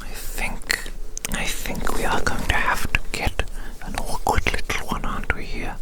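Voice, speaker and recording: A person performing an ASMR roleplay entirely in whispers, meticulous and unhurried, softly repeating phrases. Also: poor audio. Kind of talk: ASMR roleplay, whispered measuring and note-taking.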